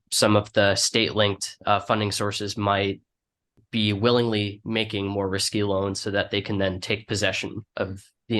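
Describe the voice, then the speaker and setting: A man interviewing a guest, laying out questions in a measured, conversational way. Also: lowish voice, a bit bright. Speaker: podcast interviewer.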